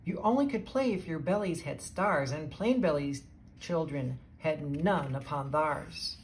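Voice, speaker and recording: A woman reading a rhyming children's story aloud in an expressive storytelling style. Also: low-pitched, measured pace, a little hiss or hum. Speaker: storybook reader.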